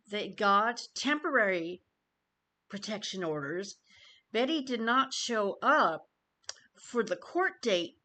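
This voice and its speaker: A woman YouTube commentator giving an informal news update to viewers, phrasing things deliberately and emphatically with pauses. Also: mid-range voice, a bit bright.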